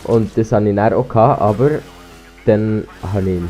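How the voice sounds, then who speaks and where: Teen Swiss German gamer with a cold. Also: male voice, lowish voice, steady tone, normal speed, mellow, soft background music. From Switzerland. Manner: rambling, hesitant, full of restarts and ums. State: slight cold. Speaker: gaming YouTuber. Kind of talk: casual rambling commentary over gameplay. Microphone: cheap gaming headset.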